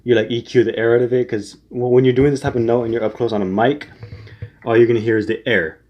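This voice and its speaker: A man's voice: mid-range voice, steady tone, fast talker, dull audio. A young man giving casual singing tips, rambling with many restarts and fillers. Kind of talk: casual spoken tutorial.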